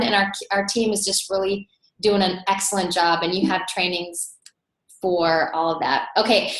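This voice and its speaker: An American woman from North Dakota hosting an informal webinar, chatty and enthusiastic, recorded indoors over an online call. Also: mid-range voice. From North Dakota, USA. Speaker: webinar host and team coach. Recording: roomy echo.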